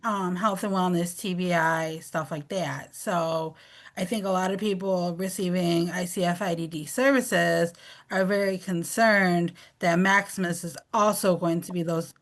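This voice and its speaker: A woman council member with an American accent, asking a question at a meeting in an informal way, with many fillers. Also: on the low side. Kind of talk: meeting discussion.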